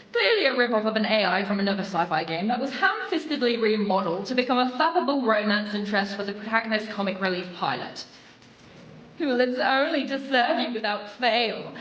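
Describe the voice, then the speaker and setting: Game succubus female actor: scornful, haughty, foul-mouthed, medium pitch, set back from the mic. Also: a bit bright, roomy sound, distant mic, muffled recording, natural voice. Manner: theatrical, haughty, sarcastic ranting. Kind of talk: video game character dialogue.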